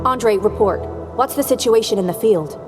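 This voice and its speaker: A woman's voice: medium pitch, expressive, normal speed, natural voice. Woman playing stern businesslike female director, game cutscene, formal, matter-of-fact, long reverb, music. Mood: businesslike, concerned. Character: serious, businesslike female director, brisk and authoritative. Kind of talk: video game character acting.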